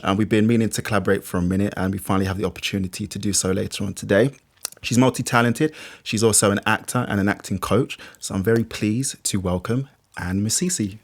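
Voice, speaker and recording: A man's voice: on the low side, steady tone, quick pace. A man hosting an interview in a casual, friendly way, asking questions and dropping short "yeah"s and "cool"s. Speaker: host.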